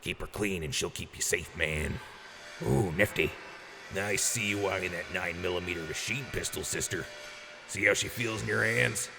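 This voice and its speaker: A very low, gravelly voice in a noisy, echoing audio drama mix. a generous, boisterous wasteland quartermaster who talks up gear like a hyped showman. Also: normal speed. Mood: proud, easygoing. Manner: hyped showman with booming catchphrase outbursts. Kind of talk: post-apocalyptic audio drama acting.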